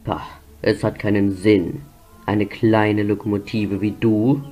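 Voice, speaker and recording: A man's voice: on the low side, little pitch movement, slow pace, mellow, soft background music. A man voicing a proud, grumbling, self-important steam locomotive in a pompous, complaining way for a cartoon fan dub. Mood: defeated, grumbling.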